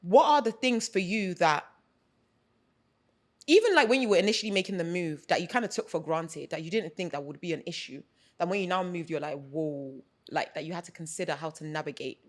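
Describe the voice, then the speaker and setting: Brief: young London woman, podcast host, animated and chatty, Nigerian slang mixed in. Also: lowish voice. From United Kingdom. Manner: animated, chatty, quick to agree. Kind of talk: casual podcast chat.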